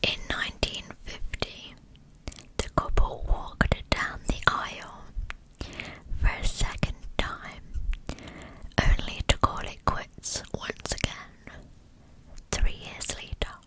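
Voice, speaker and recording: Slow whispering woman, ASMR narrator. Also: poor audio. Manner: hushed, soothing delivery meant for sleep. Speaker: ASMR channel host.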